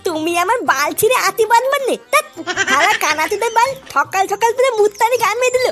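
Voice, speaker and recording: Casting: crude, excitable village rascal for comic cartoon, tiny shrill voice, rapid slangy patter. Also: faint music behind. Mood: annoyed.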